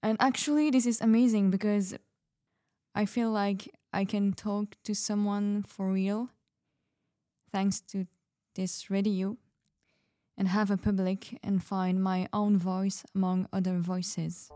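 A woman's voice: medium pitch, little pitch movement, slow pace, mellow, no echo, studio quality, lightly acted. A woman playing an idealistic artist in a fiction podcast, speaking sincerely and reflectively. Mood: hopeful, reflective. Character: idealistic female artist finding her voice. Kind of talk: fiction podcast panel interview acting.

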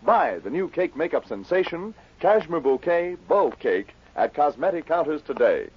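A man's voice: fairly high pitch, big pitch swings, moderate pace, slightly rough. A man with an American accent reading a scripted advertisement in 1940s radio style. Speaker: commercial announcer. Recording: muffled old 1940s radio recording.

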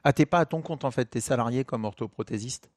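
A man's voice: medium pitch, quick pace, a little mellow, dry recording, clean studio sound. A man co-hosting a podcast, asking questions in a casual, teasing way. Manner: jokey, teasing. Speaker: podcast co-host. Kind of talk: casual interview chat.

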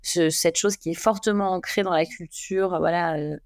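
A man's voice: high voice, steady tone, moderate pace, no echo, clean studio sound. A man hosting an interview, asking long rambling questions full of fillers. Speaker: podcast host.

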